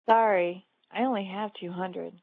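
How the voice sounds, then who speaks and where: A woman's voice: medium pitch, steady tone, measured pace, vocal fry, a little mellow, no echo, poor audio. A woman playing an earnest, plucky young female alien in a sci-fi game audio drama, a little unsure of herself. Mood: wary, hesitant. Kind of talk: fan audio drama, game-story character acting.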